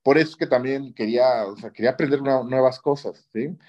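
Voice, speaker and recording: The recording is slightly dull and lo-fi. A man with a Mexican accent speaks at an average pitch, recounting his experiences in a conversational way. He often closes his phrases with a short questioning tag. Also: fairly quick. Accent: Mexican Spanish. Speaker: interview guest, orthopedic surgeon. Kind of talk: conversational personal storytelling. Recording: dull lo-fi audio.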